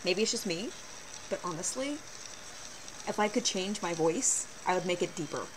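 A teenage girl vlogging from her bedroom, talking casually and animatedly with sarcastic asides in a fairly low voice for a girl. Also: expressive, moderate pace. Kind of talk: casual webcam vlog. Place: small bedroom, indoors. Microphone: built-in webcam mic. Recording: noisy low-quality webcam recording.

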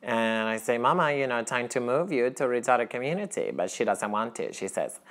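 A man's voice: animated, a little fast, fairly bright tone. An actor playing a naive young Colombian man in an apartment kitchen, speaking earnest broken English with a Colombian Spanish accent. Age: young adult. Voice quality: earnest, broken English, sudden pitch jumps. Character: naive male Colombian teacher with strong accent. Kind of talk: deadpan comedy sketch acting.

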